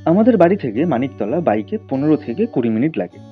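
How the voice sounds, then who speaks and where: A man's voice: medium pitch, sing-song, quick pace, phone-quality sound, soft background music. A man narrating a scripted audio story in a dramatic storytelling style.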